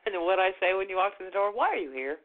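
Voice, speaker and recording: A man's voice: sits high, animated, very fast. A man co-hosting a radio talk show, stuffy with a cold, wry and laughing. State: stuffy, congested. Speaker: co-host. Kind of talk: casual radio banter. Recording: phone-like lo-fi line.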